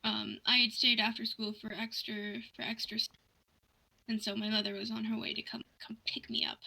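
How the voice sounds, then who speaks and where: A young woman with a very bright, piercing tone and a fairly high pitch. She tells stories in a casual, animated rant, slipping into quoted back-and-forth as she goes. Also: a little fast, gravelly, poor audio. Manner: animated ranting, quoting conversations in dialogue. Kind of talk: casual storytelling chat.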